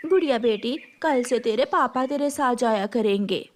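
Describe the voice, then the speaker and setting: A woman's voice: a little high, lively intonation, moderate pace, slight background noise, natural voice. A woman voicing a protective, encouraging mother crow in an Urdu cartoon, motherly and emphatic.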